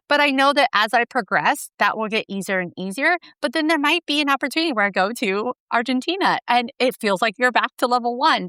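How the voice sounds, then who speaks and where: A woman's voice: a little high, sharp tone, clear, resonant tone, no echo, studio quality. A woman hosting a podcast, asking thoughtful, reflective interview questions. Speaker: podcast host.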